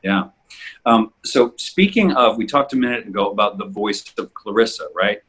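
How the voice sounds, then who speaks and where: A middle-aged man with a husky voice, hosting a casual conversational interview. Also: lowish voice, normal speed, a bit bright. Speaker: host.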